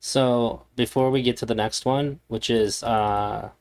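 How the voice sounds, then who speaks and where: A man hosting a livestream, giving casual commentary in a halting, rambling way. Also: medium pitch, fairly even intonation, darkish tone. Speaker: streamer host.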